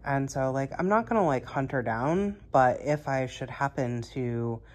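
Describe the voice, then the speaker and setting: Very low-voiced congested woman, chatty. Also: animated, measured pace. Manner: chatty, rambling, many fillers. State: congested, recovering from illness. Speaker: cross-stitch vlogger. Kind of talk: casual chat to camera.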